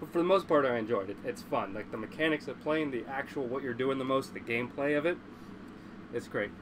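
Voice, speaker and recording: A man with a congested, mid-pitched voice talks off the cuff to his livestream. He is blunt and opinionated and swears freely. A clear layer of background noise sits under his voice. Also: a little fast. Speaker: livestream host. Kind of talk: casual livestream chat.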